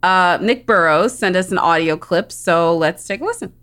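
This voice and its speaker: A woman hosting a movie podcast, playful and lively in casual chat. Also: on the low side, moderate pace, clear, resonant tone. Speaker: podcast host.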